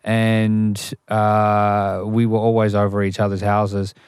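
Low, smooth-voiced man. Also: completely flat delivery, takes it slowly, darkish tone, no room sound, clean studio sound. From Australia. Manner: thoughtful, frequent restarts and "you know". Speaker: rapper, guest. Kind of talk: interview, reflective storytelling.

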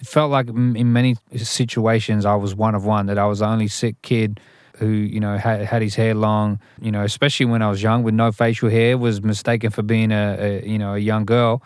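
Low, smooth-voiced man. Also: level tone, brisk, darkish tone, no room sound, studio quality. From Australia. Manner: thoughtful, frequent restarts and "you know". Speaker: rapper, guest. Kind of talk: interview, reflective storytelling.

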